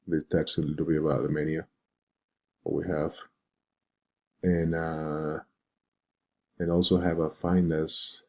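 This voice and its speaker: Deep-voiced, hesitant man from Venezuela. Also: warm tone. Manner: hesitant, halting, unscripted. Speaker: web design student presenting a project. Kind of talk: informal screen-share presentation.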